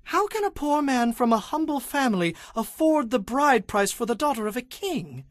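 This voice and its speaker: A woman's voice: a little high, sing-song, moderate pace, dry recording, clean studio sound. A woman playing a humble, deferential young warrior in a dramatized audio Bible, speaking earnestly.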